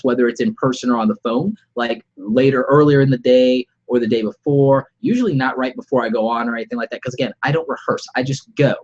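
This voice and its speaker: A man with a cold talks through it on an unscripted live stream, his voice nasal and a bit husky from a sore throat. He speaks in a mid-range voice, relaxed and conversational, offering encouraging advice. The webcam audio is slightly dull and muffled. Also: medium pitch. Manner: conversational, upbeat advice-giving. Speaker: vlogger. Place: home studio room, alone. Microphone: webcam about arm's length away.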